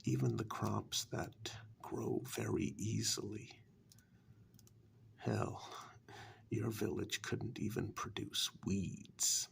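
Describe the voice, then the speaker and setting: A man voicing an ancient, powerful warlock in a soft-spoken ASMR fantasy role-play, gravelly and half-whispered, calm, menacing and condescending, with long deliberate pauses. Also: lowish voice, a little hiss or hum, full character voice. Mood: ominous, proud.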